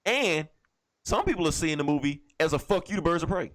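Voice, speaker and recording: Animated, blunt man chatting casually. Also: a little high, a little fast, a little hiss or hum. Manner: blunt, irreverent. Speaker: podcast co-host. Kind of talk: casual, profane movie chat.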